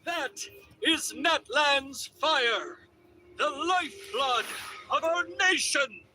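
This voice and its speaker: A man voicing a proud, commanding orator with a solemn, loudly projected delivery in a video game ceremony. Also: very high voice, expressive, slow, a little hiss or hum, exaggerated voice. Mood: proud, rousing. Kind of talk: video game dramatic oration.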